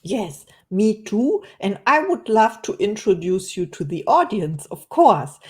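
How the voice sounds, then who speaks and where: A woman's voice: on the low side, darkish tone. Enthusiastic woman host. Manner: enthusiastic, searches for words. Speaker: podcast host. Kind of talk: interview hosting.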